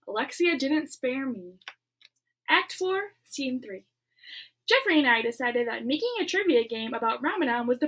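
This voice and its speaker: A woman reading a children's novel aloud as a teacher, expressive and adding her own asides between passages. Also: sits high, poor audio. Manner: expressive, with asides and quiet whispered beats.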